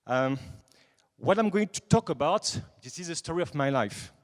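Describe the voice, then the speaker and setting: A man's voice: fairly high pitch, animated, normal speed. A man in his twenties with a French accent gives an informal conference talk in English, often restarting and searching for words. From France. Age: 20s. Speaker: conference speaker, night-life association president. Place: large conference hall. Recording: reverberant hall.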